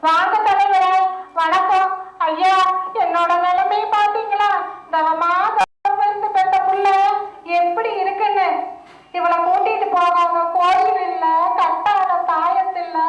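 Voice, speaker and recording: A woman's voice: very high-pitched, fairly bright tone. A woman voicing a weary, emotional village wife and mother in a live puppet show, scolding and lamenting. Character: weary wife and mother, Vimala, middle-aged. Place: outdoor stage performance. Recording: echoey, dull, faint hiss.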